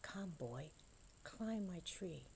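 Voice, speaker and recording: A woman voicing a gentle, selfless old female tree from a storybook, weary and giving, speaking softly with sighs and some whispering. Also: on the low side, animated, very slow, gravelly, a little hiss or hum, full character voice. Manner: soft, sighing, partly whispered.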